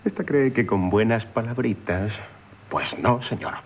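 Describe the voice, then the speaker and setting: An actor playing a proud, gruff nobleman who scorns women, theatrically, in a radio play. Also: lowish voice, unhurried. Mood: brusque, suspicious. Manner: haughty, wry, muttering asides. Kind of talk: theatrical radio play acting in Castilian Spanish. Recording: noisy 1970s radio drama recording.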